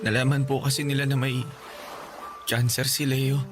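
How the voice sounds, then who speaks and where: A man plays an innocent, worried schoolboy in a radio drama. He speaks politely and hesitantly in a medium-pitched voice, with music clearly underneath. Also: level tone, moderate pace, natural voice. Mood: anxious, hesitant. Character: worried, innocent schoolboy, older brother of sick child. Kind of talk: Tagalog radio drama acting.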